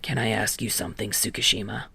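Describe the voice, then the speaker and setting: A young male volleyball player acted by a woman narrator in an audiobook story, pitched well down and very creaky. He is soft-spoken and blunt, probing in a plain, straightforward way. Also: fairly even intonation, fast talker, no room sound, full character voice. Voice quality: soft, low, breathy. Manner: straightforward, understanding. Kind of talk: fan fiction audiobook dialogue.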